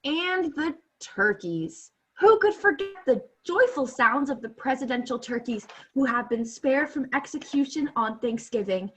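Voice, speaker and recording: A woman's voice: high voice, expressive, measured pace, few pauses, a bit bright, husky, full character voice. A young woman playing an earnest, improvising radio field reporter in a comedic radio play. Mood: gushing, stalling. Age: young adult. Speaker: student actor. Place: small bedroom at home. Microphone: laptop on a video call.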